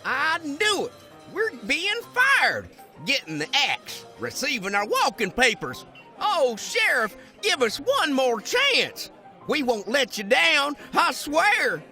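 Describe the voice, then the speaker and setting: A man's voice: extremely high pitch, wildly swooping pitch, slow, bright, forceful tone, faint music behind, full character voice. A man voicing a jumpy, overeager cartoon cowboy deputy with folksy, dramatic Western talk. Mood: panicked, pleading.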